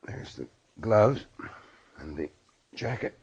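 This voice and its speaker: A man's voice: on the low side, big pitch swings, takes it slowly, creaky, darkish tone, breathy edge, exaggerated voice. An actor playing a driven, earnest male surgeon in 1940s radio drama, with a commanding manner. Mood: weary, reflective. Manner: urgent, commanding. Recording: muffled, noisy vintage radio recording.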